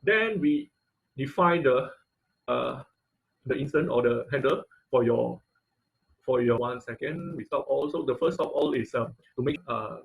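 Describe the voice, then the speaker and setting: A man giving an informal live technical tutorial as an online class instructor, halting and repeating words, often checking in with "okay" and "right". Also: mid-range voice, creaky, low-quality recording. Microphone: online video call.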